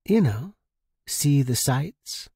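A man's voice: mid-range voice, animated, drawn-out words, dark tone, breathy edge, no room sound, clean studio sound, full character voice. Man voicing a hesitant, flirtatious woman pilot, lilting. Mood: inviting. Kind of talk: character dialogue in audiobook reading.

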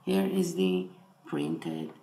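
A man with an Iranian accent giving a casual hands-on tutorial in short, halting phrases. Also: very deep voice, big pitch swings, creaky, mellow. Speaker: electronics hobbyist presenter. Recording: roomy echo with faint noise.

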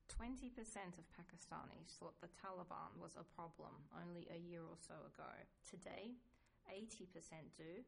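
A woman's voice: on the low side, normal speed, creaky, hardly any pauses, slight background noise. A woman moderating a panel, posing questions with a wry, dry humor. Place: conference panel stage.

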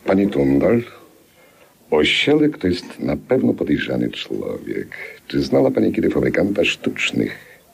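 A man's voice: rumbling low, wildly swooping pitch, a little slow, creaky, a little hiss or hum, exaggerated voice. An actor playing a suspicious, gloomy male dinner guest in a 1950s radio comedy, on an old recording.

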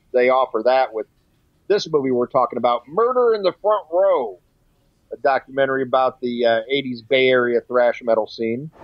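A man talks casually as a podcast reviewer, at a middling pitch. He rambles and swears bluntly. The recording is dry and close, a little dull on the top end. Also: no echo. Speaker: podcast co-host reviewing a music documentary. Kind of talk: casual chat. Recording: slightly dull, lo-fi podcast audio.

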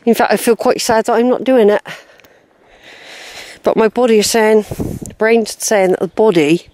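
A woman's voice: a little high, moderate pace, mellow, dry recording. A woman, short of breath and wheezy, chatting casually to camera as she walks outdoors on a grassy hillside. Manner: chatty, rambling, talks to herself. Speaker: walking vlogger. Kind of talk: casual walking commentary to camera. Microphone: handheld camera, unseen narrator.

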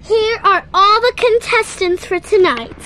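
A young girl performing every voice in a playful toy talent-show skit, bubbly and excitable. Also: very high voice, unhurried, background noise. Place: indoors at home, small room.

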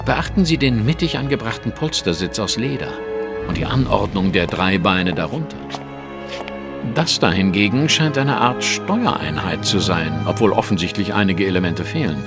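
A coolly self-assured gentleman sleuth in an audio drama. His low voice moves in lively swings as he lectures with formal precision. Music plays clearly behind him. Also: normal speed. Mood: musing, observant.

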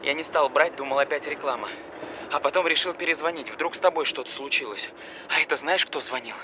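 A man's voice: on the high side, a little fast, noisy background, close to an everyday voice. An actor playing an anxious, pleading adult son, insistent and intimate, in a scripted voice-message monologue to his mother. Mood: agitated, chatty. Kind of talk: scripted audio film acting, voice-message monologue.

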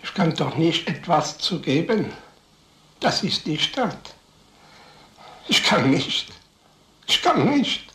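Slow, frightened murder suspect. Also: male voice, a little high, big pitch swings, bright, forceful tone, roomy sound, full character voice. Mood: desperate pleading. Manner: halting, pleading, submissive. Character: frightened, cornered male peddler suspected of murder. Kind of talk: crime radio drama acting.

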